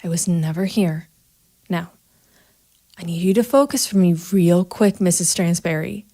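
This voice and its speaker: A woman's voice: fairly low pitch, a little slow, warm tone, big-room sound. An actress playing a cold, methodical female private investigator and vigilante, narrating a noir audio drama in a hard-edged, matter-of-fact way. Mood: grim, controlled. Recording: music bed behind the voice.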